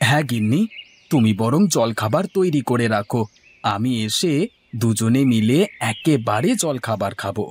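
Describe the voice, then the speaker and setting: A man's voice: mid-range voice, animated, normal speed, breathy edge. A man playing an honest, affable village milkman in a cartoon. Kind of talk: scripted cartoon dialogue.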